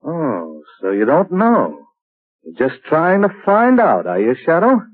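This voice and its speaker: A man's voice: fairly high pitch, huge pitch swings, measured pace, slightly rough, exaggerated voice. A man playing a ruthless scheming crime boss in a 1930s radio drama, menacing and sneering, on a tinny old broadcast recording.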